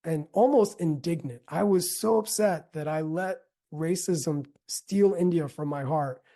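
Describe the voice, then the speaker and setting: A middle-aged American man giving a reflective talk as a teacher, earnest and deliberate, repeating phrases for emphasis. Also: fairly high pitch, dark tone. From United States. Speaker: yoga teacher and speaker. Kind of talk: reflective spoken talk with personal storytelling.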